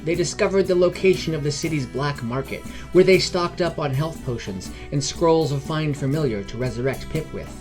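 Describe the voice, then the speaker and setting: A man's voice: on the high side, normal speed, few pauses. A man hosting and narrating a scripted fantasy adventure podcast. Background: background music.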